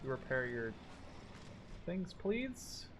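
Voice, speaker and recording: A man's voice: mid-range voice, sing-song, takes it slowly. A man in his twenties giving casual, sweary let's play commentary, thinking aloud as he plays. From Canada or the Netherlands. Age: 20s. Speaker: gaming video host. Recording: game gunfire and vehicle noise behind. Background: war game artillery, gunfire and vehicles.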